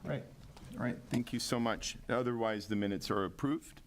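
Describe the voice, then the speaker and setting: A man's voice: medium pitch, moderate pace, a little hiss or hum. A man chairing a city commission meeting, reading agenda items aloud with frequent filler words.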